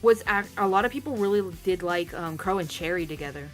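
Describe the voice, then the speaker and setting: Brief: woman co-host, fairly low voice, eager fan chatter, music faintly behind. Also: lively intonation, a little fast. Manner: eager, fan-talk, lots of "like". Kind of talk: casual chat.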